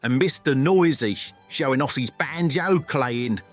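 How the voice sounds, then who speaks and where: A man's voice: mid-range voice, animated, measured pace, soft background music. A man playing a big, cheerful, forgetful cartoon character who rambles vaguely in a mock documentary interview for an audio drama. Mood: cheerfully vague.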